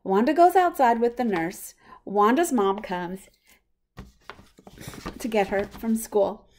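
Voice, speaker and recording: A woman reading a picture book aloud for young children in a simple, expressive way. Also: mid-range voice, slow. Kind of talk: children's storybook read-aloud.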